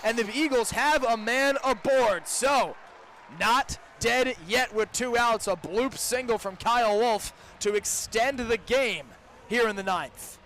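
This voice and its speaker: A young man with a high-pitched voice gives live baseball play-by-play on student radio. His calling is detailed and descriptive, jumping in intensity when the action heats up. Light outdoor ballpark noise sits behind him. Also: slow pace. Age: young adult. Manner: excited surges on big plays, detailed descriptive calling. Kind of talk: live radio sports commentary. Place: outdoor baseball stadium broadcast. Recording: noisy outdoor ballpark ambience.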